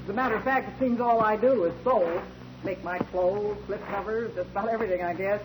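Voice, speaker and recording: A woman's voice: mid-range voice, a little fast, close to an everyday voice. An actress playing a kindly, motherly but firm boarding-house landlady in a 1940s radio drama. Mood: homely. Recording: noisy old radio broadcast recording.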